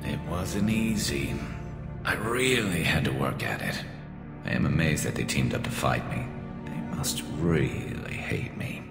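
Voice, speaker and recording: A man voicing a wry male alien sniper vigilante in a video game cutscene, speaking in a deadpan, dry way. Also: rumbling low, measured pace, loud music behind the voice. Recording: reverberant game mix with score.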